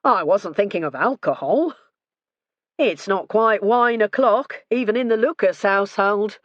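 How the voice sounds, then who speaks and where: A woman's voice: mid-range voice, lively intonation, unhurried, no room sound, muffled recording, voice pushed up, natural voice. A woman voicing a cheerful, chatty retired man proudly playing host in an audiobook. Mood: jovial. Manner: jovial, chatty.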